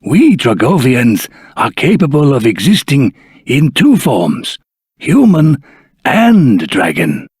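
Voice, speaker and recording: An actor voicing a dignified elder of a dragon race in human form, speaking in a formal, courteous, earnest and husky voice for a fantasy video game. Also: male voice, medium pitch, no room sound, clean studio sound, exaggerated voice. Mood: courteous, grateful.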